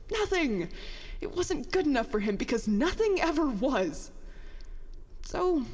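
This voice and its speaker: A high female voice rings with long reverb. A woman acts a bitter young former prodigy in an audio drama, self-doubting and sarcastic. The confession rambles, full of self-deprecation. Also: darkish tone.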